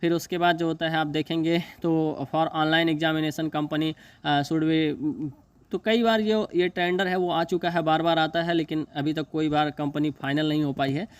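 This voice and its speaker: A man talks through an informal explainer in a slightly high voice. His delivery is very even and monotone. He goes haltingly, repeating phrases and stumbling as he reads out technical terms. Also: clean tone, no room sound. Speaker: exam news video host. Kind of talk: informal explainer, reading and paraphrasing a notice.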